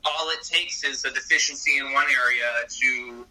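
Bright, expressive man off-mic. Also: mid-range voice, moderate pace, recorded from a distance, rough recording. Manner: expressive, animated talker. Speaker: podcast host. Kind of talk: casual chat.

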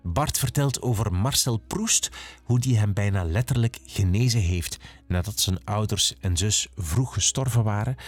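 A man's voice: on the low side, no echo. A man with a Flemish accent, a podcast host reading a scripted introduction.

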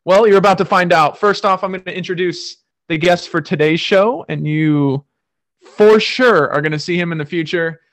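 A man's voice: high-pitched, normal speed, a bit bright. A man hosting a podcast, talking loosely with lots of fillers and restarts. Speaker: podcast host. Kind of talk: casual podcast chat.

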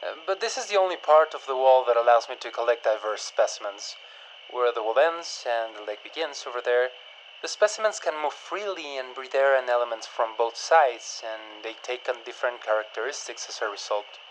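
Male actor as pedantic scientist, mid-pitched, stiff, precise, guarded, lecturing, dystopian audio drama, faint ambient noise. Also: animated, brisk, close to an everyday voice, low-quality recording. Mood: lecturing, stern.

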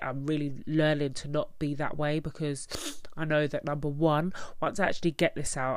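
A woman's voice: deep voice, no echo. A young woman podcast host with a nasal, stuffy voice, under the weather, talking earnestly and encouragingly to her listeners. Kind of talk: solo reflective podcast talk.

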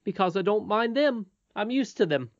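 A woman's voice: mid-range voice, animated, normal speed, dry recording, lo-fi sound, voice pushed up, lightly acted. A woman reading a storybook aloud, voicing a confident, cheerful twelve-year-old miner boy with a frank, matter-of-fact manner.

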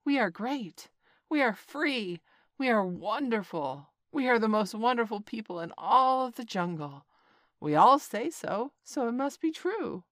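A frantic troop of bragging jungle monkeys, as a woman reads them, shouting over each other in high, shrill bursts. The sound is dry and close, with no room around it. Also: animated, normal speed, dry recording. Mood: boastful. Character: chattering boastful monkey horde.

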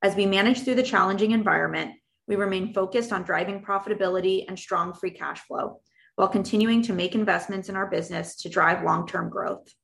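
A woman presenting company financial results as an executive, reading prepared remarks in a formal, measured way. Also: medium pitch, creaky, a bit bright. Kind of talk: scripted earnings call presentation.

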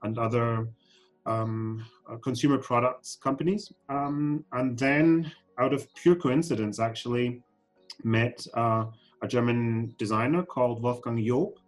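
A man's voice: mid-range voice, a little slow, soft background music. A man in his forties, born in Scotland and raised in Germany, answering questions as a podcast guest, with frequent ums and restarts. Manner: hesitant, many ums and restarts. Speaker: guest, fashion council CEO. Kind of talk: podcast interview.

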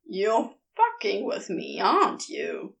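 A woman voicing a weary human man who talks in a dry, rueful, deadpan way. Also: mid-range voice, slow, vocal fry, pitched below the performer's own voice, full character voice. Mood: wry.

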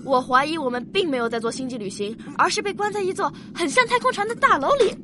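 A woman's voice: high voice, animated, fast, background noise. A woman playing a curious, stubborn young daughter in a science-fiction radio drama, earnestly reasoning like a little scholar. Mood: earnest suspicion.

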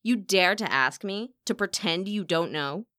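Woman narrator as proud opera diva, scornful, accusing, haughty, dramatic swings in pitch, storybook acting, dry recording. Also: very animated, normal speed, pushed and bright, no room sound. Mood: cold accusation. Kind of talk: audiobook character acting.

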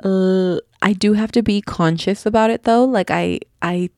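A woman's voice: mid-range voice, warm tone, no room sound, clean studio sound. A young woman from Utah hosting her own podcast, talking casually with a slight lisp, rambling with many ums and restarts. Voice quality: slight lisp on fast S sounds. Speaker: podcast host. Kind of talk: casual solo podcast chat.

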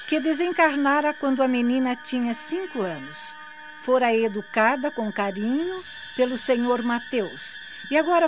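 A woman reading scripted narration for a radio drama. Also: sits high. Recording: dull lo-fi, noisy background.

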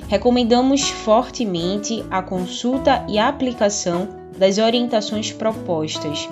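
A woman host reading a formal, measured announcement. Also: medium pitch, music in the background. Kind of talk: scripted announcement.

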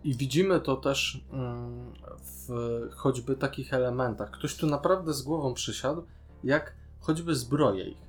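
A man's voice: mid-range voice, a little slow, faint music behind. A man, co-hosting a podcast and discussing a series casually, in an opinionated, questioning manner. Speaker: podcast co-host.

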